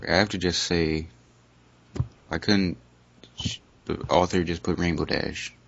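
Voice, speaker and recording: A man's voice: low voice, steady tone, unhurried, vocal fry, fairly bright tone, slightly rough. A man casually reading fan fiction aloud, breaking off for exasperated asides and banter. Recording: dull lo-fi recording.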